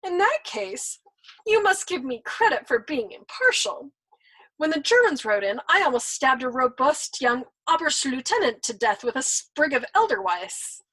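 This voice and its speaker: A woman voicing a shameless, worldly old man over a hundred, teasing and smug, in a breathy voice, as she reads a storybook aloud. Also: high-pitched, very animated, measured pace, a bit bright, pitched above the performer's own voice, full character voice, poor audio. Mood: mocking.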